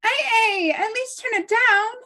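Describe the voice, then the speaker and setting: A woman's voice: very high voice, measured pace, pushed and bright, heavily acted. A woman playing an earnest, practical young good girl in a table read of a TV script. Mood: casual.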